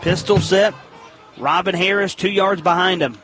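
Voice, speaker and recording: An American man calling live football play-by-play on the radio in an excitable, emphatic way with clipped phrases. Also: sits high, normal speed, music in the background.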